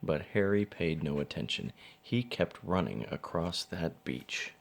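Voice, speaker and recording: Man, children's story narration, deep voice, animated intonation, vocal fry. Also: a little slow. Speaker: father reading a picture book.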